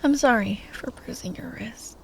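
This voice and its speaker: A woman playing a proud, grieving royal knight in a fantasy ASMR roleplay, speaking softly and haltingly, often in a breathy whisper, tender with a wry edge. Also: on the high side, low-quality recording. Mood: teasing, tender.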